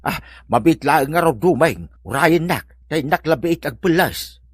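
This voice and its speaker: Man acting husky, puzzled Ilocano old-timer. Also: fairly high pitch, expressive, a little slow, no room sound. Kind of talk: comedy drama acting.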